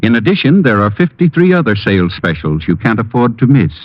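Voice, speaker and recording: A man's voice: mid-range voice, big pitch swings, a little fast, dry recording. A man reading a 1950s radio commercial with a polished, emphatic announcer's sales delivery. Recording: dull, dry old radio recording.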